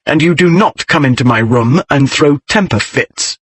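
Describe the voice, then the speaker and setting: A man voicing a quick-tempered young nobleman who speaks in a curt, stern, commanding way with a husky tone. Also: on the high side, animated, fairly bright tone, dry recording.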